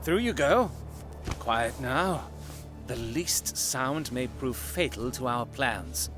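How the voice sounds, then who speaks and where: An actor playing a keen, commanding gentleman detective in an audio drama, speaking in deliberate, formal, dramatic phrases. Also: medium pitch, lively intonation, music in the background. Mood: wry, hushed urgency.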